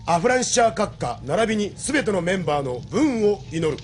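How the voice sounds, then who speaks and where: A dutiful young army signals operator in a radio drama. His voice is high and lively, formal and clipped, with faint noise behind it. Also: a little fast. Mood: formal, obedient. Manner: formal, clipped military reporting. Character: dutiful young male military communications soldier. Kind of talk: Japanese anime audio drama acting.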